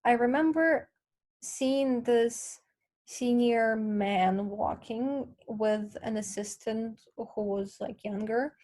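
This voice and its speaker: A woman's voice: fairly high pitch, slow pace, a little mellow. Young Ukrainian woman explaining earnestly. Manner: earnest, explains patiently with many fillers. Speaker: guest. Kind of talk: casual interview conversation.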